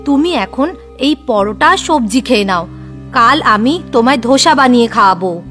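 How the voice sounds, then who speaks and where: A woman playing a practical middle-aged mother in an audio story, gentle but firm. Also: fairly high pitch, lively intonation, moderate pace, faint music behind. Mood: firm, soothing.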